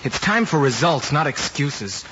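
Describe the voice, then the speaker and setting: A man's voice: fairly high pitch, very animated, measured pace, sharp tone, heavily acted. Sullen teen guy, curt and defensive, old drama, dull sound with plenty of noise. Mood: defiant. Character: defensive, sullen teenage male student accused of cheating. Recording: muffled old film soundtrack, noisy.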